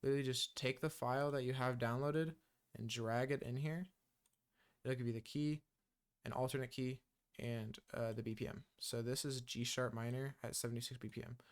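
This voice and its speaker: A man walks through a beginner software tutorial in a relaxed, rambling way. He fills his sentences with ums and uhs. His voice is smooth and resonant, sitting at an ordinary middle pitch. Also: normal speed. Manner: loose and chatty, many ums and uhs. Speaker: music production tutor. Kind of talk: casual screen-recorded software tutorial.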